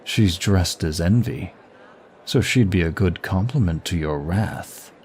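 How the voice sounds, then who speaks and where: A man's voice: low voice, a little slow, darkish tone, a little hiss or hum. A man playing a smug, seductive demon of lust in an intimate ASMR roleplay, with suave, teasing and formal flirtatious phrasing.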